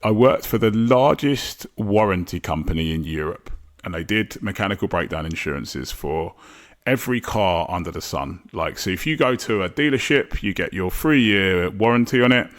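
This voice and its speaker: A man's voice: low-pitched, expressive, moderate pace. A man, a podcast guest talking casually, rambling with many fillers and restarts. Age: 40s. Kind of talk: casual interview chat.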